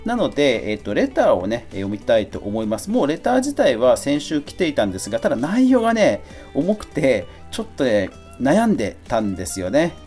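Middle-aged man, lively mellow podcast chat. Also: medium pitch, fairly quick, few pauses, faint music behind. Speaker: podcast host. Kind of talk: casual solo talk answering a listener letter.

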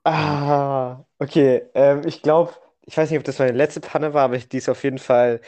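A young man co-hosting a podcast in casual conversation, telling things in a halting way and often correcting himself. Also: mid-range voice, fairly even intonation, darkish tone. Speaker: podcast co-host. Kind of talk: casual chat.

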